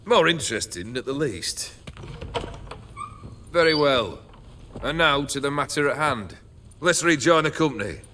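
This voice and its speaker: Olgierd, a jaded sardonic noble. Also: male voice, fairly high pitch, expressive, unhurried, pauses often, noisy background. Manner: languid, sardonic, drawling. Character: cursed jaded male noble bandit leader. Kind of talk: game cutscene voice acting. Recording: rowdy crowd of revelers behind.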